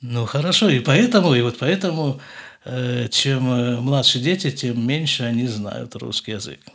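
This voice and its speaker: Middle-aged male host, husky voice, mid pitch, thoughtful unhurried questioner. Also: a bit bright. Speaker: podcast host, father. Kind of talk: casual family conversation.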